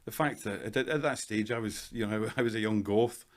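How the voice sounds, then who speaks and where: A Scottish man in his fifties, a hairdresser guest in a casual interview, talking in a dry, self-deprecating, measured way. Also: on the low side. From Scotland. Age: 50s.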